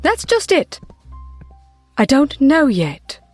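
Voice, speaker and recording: An actress playing a brisk, wry, long-lived woman who retrieves lost books in an audio drama, with a clipped, assured delivery. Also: high-pitched, wildly swooping pitch, dry recording, soft background music, exaggerated voice. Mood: dry, assured. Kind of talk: full-cast audio drama acting.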